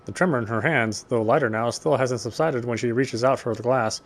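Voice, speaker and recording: A man reading a visual novel's narration aloud in a measured, literary way, with small stumbles over the words. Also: medium pitch. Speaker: let's player reading game text.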